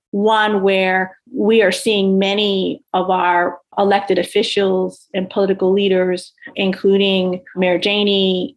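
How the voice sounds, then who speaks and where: A woman's voice: medium pitch, vocal fry, low-quality recording. An American woman speaking as an academic panelist, analytical and articulate, with formal, emphatic phrasing.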